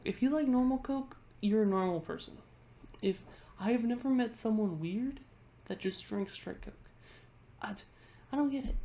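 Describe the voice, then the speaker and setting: A woman's voice: mid-range voice, vocal fry, dark tone. A congested woman vlogger chatting casually into her webcam at home, rambling and often restarting her sentences. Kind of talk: casual chat ranking things on a tier list.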